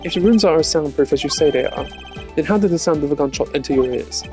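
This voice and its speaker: A man voicing an earnest young male prosecutor in a detective game, formal, deliberate and precise in his reasoning. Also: on the high side, warm tone, faint music behind, lightly acted. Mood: measured, deductive.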